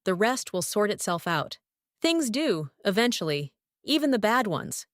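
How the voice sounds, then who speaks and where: A woman's voice: mid-range voice, sing-song, no room sound, studio quality. Composed society matriarch played by a woman, lilting delivery. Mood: composed, resolute. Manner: precise, unhurried. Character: precise, unhurried wealthy society mother, composed and stoic. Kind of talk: scripted noir radio drama dialogue.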